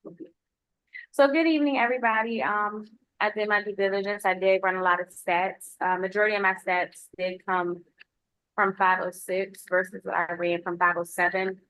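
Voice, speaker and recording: A woman's voice: medium pitch, steady tone, moderate pace, a bit bright, no room sound, rough recording. A woman from the United States, a police lieutenant, giving an informal spoken report at a public meeting.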